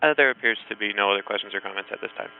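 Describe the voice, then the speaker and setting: A man's voice: lowish voice, very fast, creaky, smooth. A man working as a conference call operator, making routine scripted announcements over the telephone. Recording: narrow phone line with faint hum.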